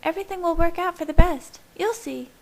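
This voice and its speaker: Bubbly, bossy young vampire girl for a storybook, acted by a woman; very high voice, excitable and emphatic. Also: brisk. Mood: firm, reassuring. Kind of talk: audiobook character voice.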